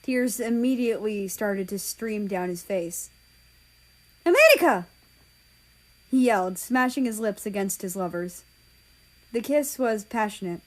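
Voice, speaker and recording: A woman's voice: mid-range voice, normal speed, a little mellow, rough recording. A woman reading a story aloud as scripted narration, an amateur audiobook reader.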